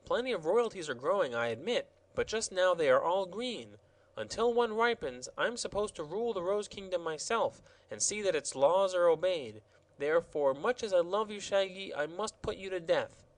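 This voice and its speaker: A man's voice: animated, moderate pace. A man reading a storybook aloud and voicing a weepy, fawning royal gardener in a raised, pleading voice. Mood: perplexed. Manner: high, pleading and overwrought. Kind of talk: audiobook character voice.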